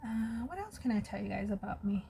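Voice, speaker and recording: A woman's voice: mid-range voice, sing-song, a little fast, vocal fry, dark tone, slight background noise. A woman in her thirties with a slight lisp, chatting casually and openly to her viewers while doing her makeup. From United States. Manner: chatty, open and personal. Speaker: vlogger.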